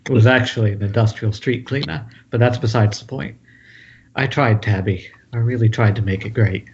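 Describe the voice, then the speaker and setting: A man's voice: fairly low pitch, moderate pace, darkish tone, a little hiss or hum, natural voice. A man playing a troubled, hard-drinking writer in an amateur screenplay table read over an internet call. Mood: dejected. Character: troubled male writer, washed-up drinker pleading with his wife. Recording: dull lo-fi Skype call.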